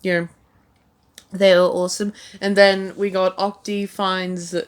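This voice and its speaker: A woman's voice: medium pitch, flat delivery, a little slow, smooth. A woman talking casually about her own past videos, rambling and self-deprecating, with lots of "like" and "um" fillers. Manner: rambling, heavy use of "like" and "um".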